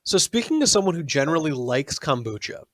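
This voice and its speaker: A man with a fairly high voice, reviewing drinks on a podcast in a wry, deliberate way. The sound is close and dry, with no room around it. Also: big pitch swings, no echo. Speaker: podcast co-host. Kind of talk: casual chat. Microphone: studio mic.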